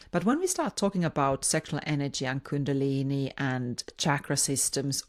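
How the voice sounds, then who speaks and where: A woman with a Finnish accent hosting a podcast, speaking informally on her own and often rephrasing herself mid-sentence. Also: low-pitched. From Finland. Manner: hesitant, rephrases and repeats words mid-sentence. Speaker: podcast host. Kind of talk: informal solo podcast monologue.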